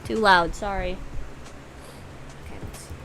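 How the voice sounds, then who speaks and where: A woman's voice: medium pitch, steady tone, measured pace, very noisy. A woman doing casual live gameplay commentary in a playful, exclamatory way, swearing freely. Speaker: game streamer.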